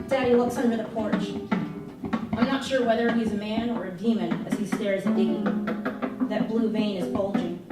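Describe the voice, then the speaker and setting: A woman giving a dramatic first-person reading of a story to an audience as a live performance. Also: mid-range voice. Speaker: reader performing a novel. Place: live performance in an arts venue. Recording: very echoey hall, far from mic, loud guitar. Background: loud plucked guitar music.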